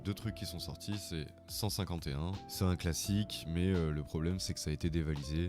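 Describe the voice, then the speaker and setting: Very deep-voiced man with a cold. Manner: informal, rambling. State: has a cold. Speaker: vlogger. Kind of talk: casual video tutorial. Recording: music playing behind the voice.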